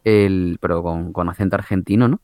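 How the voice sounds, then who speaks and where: A man's voice: low voice, moderate pace, darkish tone, no room sound, studio quality. A man from chatting casually, rambling with many fillers and restarts. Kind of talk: casual chat.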